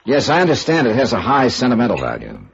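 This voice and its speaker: A man's voice: mid-range voice, wildly swooping pitch, fast talker, gravelly. An American man playing a sardonic, unflappable insurance investigator in old-time radio noir, with wry, clipped delivery. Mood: sardonic, teasing. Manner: wry, clipped, dry repartee. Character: sardonic, sharp-witted male insurance investigator, hard-boiled and unflappable. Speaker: radio actor, narrator and lead. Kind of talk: old-time radio noir acting with first-person narration. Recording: muffled vintage radio drama recording.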